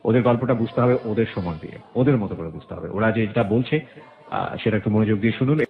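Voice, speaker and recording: A man's voice: on the low side, quick pace. A middle-aged man with a Bangladeshi accent hosting a casual radio interview, making wry, teasing comments and dropping in English phrases. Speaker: radio host. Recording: roomy, band-limited lo-fi sound.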